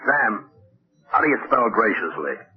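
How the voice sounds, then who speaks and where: An actor playing a laconic, grudging police lieutenant in a mid-century radio drama. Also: male voice, fairly low pitch, big pitch swings, unhurried. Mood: grudging, puzzled. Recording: tinny, narrow-band old radio recording.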